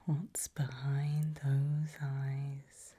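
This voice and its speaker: A woman softly whispering a medical exam roleplay in ASMR style, giving gentle, deliberate instructions with pauses. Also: very deep voice, completely flat delivery. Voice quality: soft breathy whisper. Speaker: ASMR performer playing an examiner.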